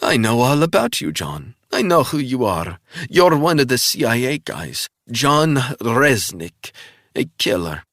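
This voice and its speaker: Man doing shrill taunting Russian woman. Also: sing-song, a little slow, a bit bright, no echo, clean studio sound. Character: vicious mocking female Russian captive, wounded.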